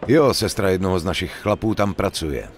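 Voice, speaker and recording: An actor playing a cool, businesslike mobster in a dubbed game, terse, distrustful and authoritative. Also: male voice, low-pitched, expressive, soft background music. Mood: businesslike, stern. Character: cool, businesslike male mobster giving out jobs. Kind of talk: dubbed video game cutscene acting.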